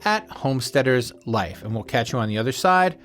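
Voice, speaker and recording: A man's voice: mid-range voice, lively intonation, brisk, over music. Conversational man hosting a podcast. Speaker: podcast host.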